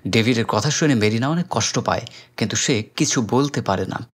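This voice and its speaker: A Bangladeshi man tells a story as a recap narrator. His mid-pitched voice follows a script, carrying the tale along in narration. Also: fairly quick.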